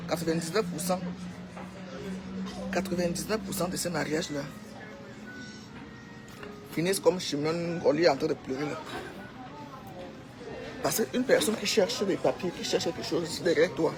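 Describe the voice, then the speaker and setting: A woman's voice: low voice, normal speed, pauses often, over music, low-quality recording. A woman with a Cameroonian accent giving an informal, opinionated monologue to followers, exhorting them and repeating phrases for emphasis. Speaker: social media commentator.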